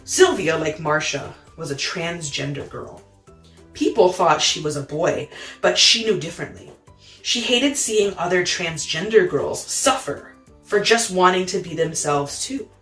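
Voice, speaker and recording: A woman reading a children's picture book aloud in an expressive, dramatic storytime style, pausing to explain the pictures. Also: fairly low pitch, faint music behind.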